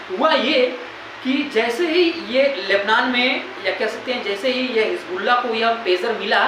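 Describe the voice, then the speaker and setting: High-voiced Indian woman, very echoey noisy recording. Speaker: presenter. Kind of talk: informal explainer of current affairs. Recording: very echoey room, loud background noise.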